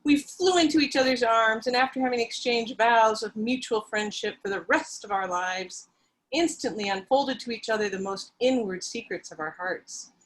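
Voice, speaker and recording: A woman's voice: a little high, moderate pace, close to an everyday voice, low-quality recording. A woman reading an earnest, melodramatic heroine. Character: overly sensitive young heroine narrating her past. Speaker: reader in a dramatic reading. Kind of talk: dramatic reading over video call.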